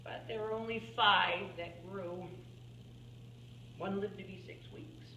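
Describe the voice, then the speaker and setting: A woman playing an elderly, folksy farm-woman painter in a theatrical monologue, halting and musing, plainspoken and wry as she reminisces. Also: mid-range voice, fairly even intonation, bright, forceful tone. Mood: subdued, wistful. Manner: halting, musing, with small chuckles and pauses. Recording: roomy, distant mic, faint background noise.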